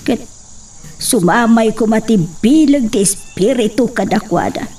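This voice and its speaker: A woman's voice: fairly high pitch, expressive, measured pace, warm tone, breathy edge, distant mic. A woman playing a worried, pious mother in a radio drama, pleading and lamenting. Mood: anxious, prayerful.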